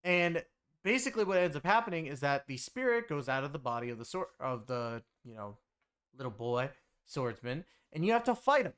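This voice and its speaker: A man's voice: fairly high pitch, big pitch swings, brisk, a bit bright, clean tone. A man giving a casual, rambling recap of a video game story, with an emphatic, conversational delivery. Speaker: game streamer and story reviewer.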